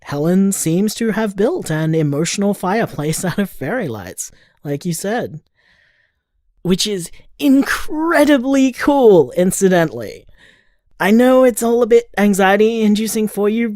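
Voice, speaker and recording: A woman's voice: mid-range voice, a little slow, darkish tone, dry recording, clean studio sound, natural voice. A woman playing an affectionate, easygoing partner in an intimate audio drama, talking in a casual, fond and wry way. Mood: amused, admiring. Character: affectionate, easygoing partner leaving a late-night message.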